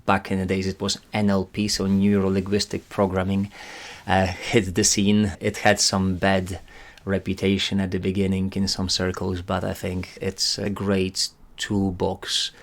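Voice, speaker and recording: A man from Poland with a Polish accent, answering interview questions in a measured, earnest and slightly formal way. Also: low-pitched, completely flat delivery. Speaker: guest, climate recruitment director.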